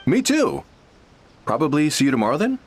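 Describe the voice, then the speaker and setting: A mid-pitched male voice with lively swings, a smug game client who leans in to share gossip and scorns rivals. Soft game music sits behind him. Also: brisk, slight background noise. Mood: confiding. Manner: smug, confiding. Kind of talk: game voice acting.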